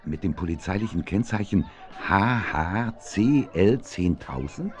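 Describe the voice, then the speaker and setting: A man's voice: low-pitched, lively intonation, measured pace, darkish tone. An actor playing a courteous, official policeman in a German children's radio play, speaking formally. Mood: official, polite. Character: polite, official policeman on patrol.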